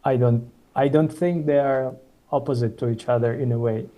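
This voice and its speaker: A man's voice: medium pitch, fairly even intonation, fairly quick, dark tone. A man in his thirties from Ukraine, speaking as a guest on a video call in a hesitant, casual way with many fillers and restarts. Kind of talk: casual webinar conversation.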